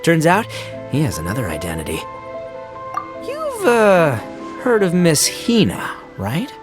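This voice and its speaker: An actor playing a brash, boastful, good-natured young oni gang leader in a video game, slangy and exuberant. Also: male voice, a little high, wildly swooping pitch, unhurried. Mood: casual, proud. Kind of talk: video game character acting. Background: music.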